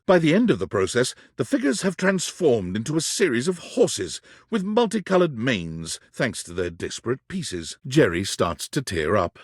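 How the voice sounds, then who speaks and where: An expressive, husky game narrator. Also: male voice, mid-range voice, dry recording, clean studio sound. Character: omniscient male narrator of a quirky dating game. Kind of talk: scripted storybook narration.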